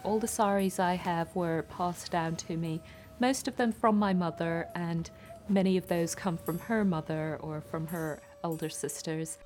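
Low-voiced Kenyan-raised woman poet. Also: steady tone, a little slow, a little mellow, soft background music. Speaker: poet and performer. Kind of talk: reflective interview talk.

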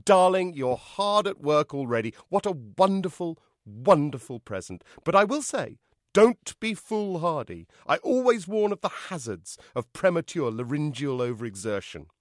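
Man as a loud, gushing theatrical grande dame. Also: on the high side, big pitch swings, moderate pace, no room sound, clean studio sound, pitched above the performer's own voice. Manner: grand, affected, effusive. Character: flamboyant theatrical woman, gushing, domineering stage mother. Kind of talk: audiobook character voice.

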